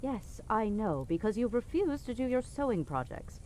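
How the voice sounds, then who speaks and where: A woman's voice: on the high side, very animated, normal speed, a little mellow, slight background noise. A woman playing a haughty middle-aged queen and guilt-tripping mother in an audio drama, dismissive and cajoling by turns. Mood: exasperated, cajoling. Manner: dismissive, cajoling, imperious, with exasperated sighs. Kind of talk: audio drama podcast acting.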